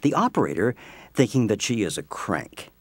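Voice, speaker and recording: A man narrating an audio play from a script as an expressive storyteller. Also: on the low side, normal speed, no room sound.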